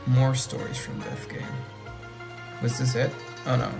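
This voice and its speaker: A young man whose first language is Dutch, rambling excitedly while reacting to an animation and thinking out loud. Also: medium pitch, unhurried, creaky, music in the background. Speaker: reaction video host. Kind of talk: casual reaction commentary.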